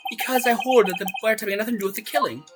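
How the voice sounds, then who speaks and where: A woman plays a scheming male prosecutor in a courtroom video game. He speaks in a haughty, clipped, smug way. Loud music sits behind the voice. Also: on the high side, very fast, lightly acted.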